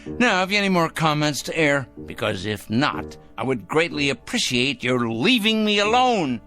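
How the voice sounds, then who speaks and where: Pompous, sharp-voiced crank, wildly swooping. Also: male voice, fairly high pitch, sing-song, moderate pace, soft background music, full character voice. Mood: curt, disdainful. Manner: pompous, condescending, precise and theatrical. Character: fussy, sanctimonious, secretive male crank obsessed with judging evil. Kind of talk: radio drama acting.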